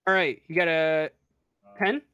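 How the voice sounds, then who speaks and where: Slow, halting game master, clean voice. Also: mid-range voice, fairly even intonation, pushed and bright, clean tone, no room sound, muffled recording. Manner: halting, pausing often to think. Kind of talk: improvised narration of a tabletop roleplaying game.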